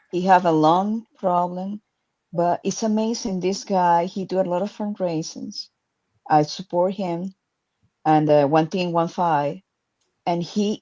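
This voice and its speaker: A woman's voice: mid-range voice, a little mellow, muffled recording. A woman with non-native English talking casually and earnestly, with small grammar slips.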